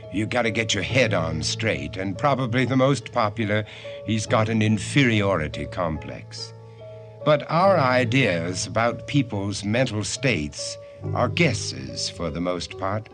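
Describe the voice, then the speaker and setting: Animated low-voiced man over music. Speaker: host. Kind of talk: scripted radio drama introduction.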